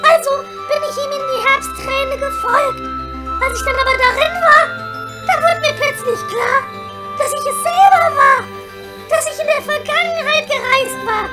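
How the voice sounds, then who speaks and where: A woman's voice: very high voice, very echoey. A woman playing a lovesick young female elf in a comedy audio play, theatrical and full of sighs. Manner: theatrical, sighing, breathless repetition.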